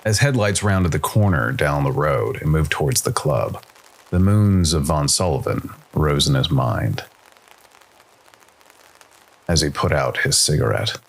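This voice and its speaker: A man's voice: extremely low pitch, lively intonation, measured pace, gravelly, long pauses, breathy edge, rough recording. A man reading scripted science fiction noir narration as a storyteller. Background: faint music.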